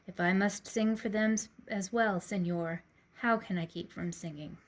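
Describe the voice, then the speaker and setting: A woman's voice: moderate pace, close to an everyday voice. A woman voicing a brave, headstrong little male rooster in a storybook, steadfast and defiant. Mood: calm defiance. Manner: calm, steadfast speech with high crowing calls.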